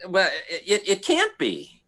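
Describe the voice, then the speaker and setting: A man playing a nervous husband in an old-time radio mystery, halting and theatrical. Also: high-pitched, wildly swooping pitch, bright, forceful tone, full character voice. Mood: pleading, panicked.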